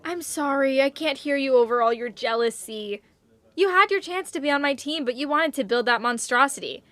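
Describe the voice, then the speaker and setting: Snobby teenage rival, high-pitched, taunting, regal airs, dramatized podcast, close dry recording. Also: female voice, moderate pace, sharp tone, no echo. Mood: smug, scornful. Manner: imperious, taunting. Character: haughty, spoiled teenage homecoming queen, mocking rival. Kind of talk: scripted audio drama acting.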